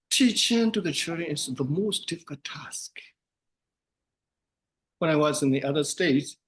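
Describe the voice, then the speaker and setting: A man from Myanmar with a Burmese accent, a monk giving a casual teaching talk and repeating phrases for emphasis. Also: fairly high pitch. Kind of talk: casual dharma talk lesson.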